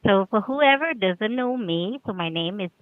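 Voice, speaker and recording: A woman's voice: medium pitch, lively intonation, normal speed, no room sound. A middle-aged woman from Vietnam joins a live panel, casual and friendly. Her voice comes through a tinny, phone-like line. The audio is completely dry, with no room sound at all. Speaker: panel guest. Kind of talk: livestream panel chat.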